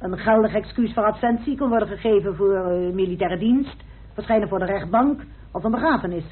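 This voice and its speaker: An actress playing a sharp, precise, assured woman investigator in a radio drama. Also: medium pitch, brisk, husky, natural voice. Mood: triumphant reveal. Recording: muffled, tinny old radio recording.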